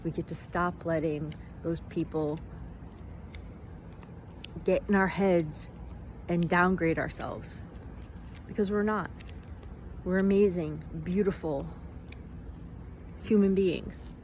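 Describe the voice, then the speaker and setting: A woman giving an unscripted motivational monologue in a reflective way, in halting phrases with deliberate pauses. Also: on the low side, a little mellow. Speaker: vlogger giving self-help reflections. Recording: noisy, vehicle-like ambient sound.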